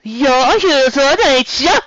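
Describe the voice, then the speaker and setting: A man voicing a gruff female bartender mare with a put-on Cockney accent, clipped words slurred together. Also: extremely high pitch, expressive, extremely slow, fairly bright tone, dry recording, dull audio, pitched above the performer's own voice, heavily acted.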